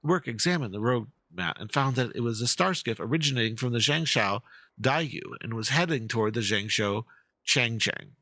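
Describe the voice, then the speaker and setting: Man as a theatrical, creaky ghost-story teller. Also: mid-range voice, lively intonation, normal speed, sharp tone, no room sound. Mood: eerie, suspenseful. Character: dramatic storyteller posting a spooky ghost-ship legend.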